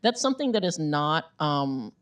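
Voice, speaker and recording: A woman's voice: deep voice, sing-song, moderate pace. A woman author giving a conversational book talk in an informal way, often checking in with "right?". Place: bookstore event before an audience.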